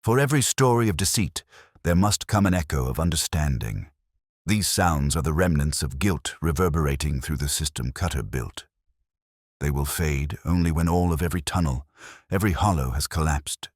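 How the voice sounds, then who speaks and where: A grave, commanding Victorian detective from an audio mystery , acted by a male reader. The voice is extremely low and closely recorded without room sound, with deliberate, terse delivery and calm precision. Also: dry recording, clean studio sound.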